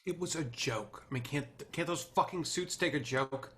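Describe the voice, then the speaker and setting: A man's voice: moderate pace, a bit bright, close to an everyday voice. An actor playing a brash, volatile, profane male TV producer in a screenplay table read, glib one moment and bitter the next. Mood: frustrated. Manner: glib pitching, swearing when frustrated.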